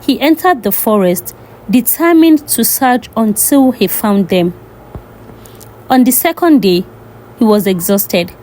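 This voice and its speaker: Female folktale narrator: higher register, highly animated scripted storytelling. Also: a little slow, low-quality recording.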